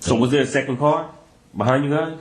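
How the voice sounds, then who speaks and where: Fast-talking American man, echoey room. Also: mid-range voice, slight background noise. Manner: colloquial, familiar. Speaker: police interrogator. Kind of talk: casual questioning. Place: indoor interview room. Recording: echoey interview room recording.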